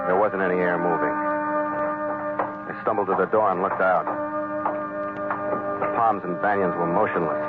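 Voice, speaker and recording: An actor playing a tough, weary sea captain who narrates in terse, dry, hard-boiled 1940s radio style. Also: male voice, deep voice, close to an everyday voice. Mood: tense narration. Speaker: lead actor and first-person narrator. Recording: tinny vintage radio recording, loud music.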